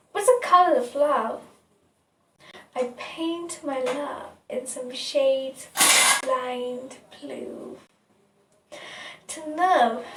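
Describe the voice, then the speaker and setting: A woman reciting her own poem in a dramatic, deliberate way, with pauses between phrases. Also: high-pitched, fairly bright tone, a little hiss or hum. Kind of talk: poetry recitation. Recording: echoey room, distant mic.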